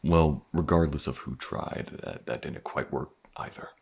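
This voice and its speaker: A man playing a gruff, guarded but protective mercenary in a fantasy audio roleplay, halting and dry in his delivery. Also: exaggerated voice. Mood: gruff, hesitant. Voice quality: very deep gravelly husky.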